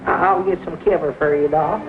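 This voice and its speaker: An actor playing an excitable, simple-hearted rural sidekick with a Southern accent and folksy talk in a 1940s film. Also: male voice, a little high, moderate pace, close to an everyday voice. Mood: eager, agreeable. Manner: folksy exclamations, rustic grammar. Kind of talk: 1940s film comedy acting. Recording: muffled, noisy 1940s film soundtrack.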